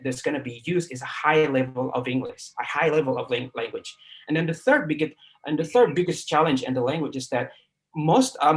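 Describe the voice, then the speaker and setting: Indonesian-accented man teaching, asking "right?". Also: on the high side. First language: Sundanese. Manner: many fillers and restarts, keeps asking "right?". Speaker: guest lecturer. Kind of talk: live online class talk.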